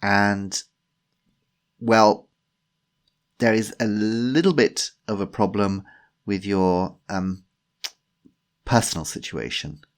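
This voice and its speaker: A man's voice: on the low side, fairly even intonation, extremely slow, pauses often. A man voicing a hesitant, polite fellow in an audiobook story, awkward and placating as he bluffs.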